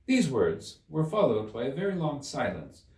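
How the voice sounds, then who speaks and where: Guy narrating a kids classic, super animated, echoey room, mic a bit far off. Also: medium pitch, normal speed. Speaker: story reader. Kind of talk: expressive scripted storybook narration. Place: room inside a museum.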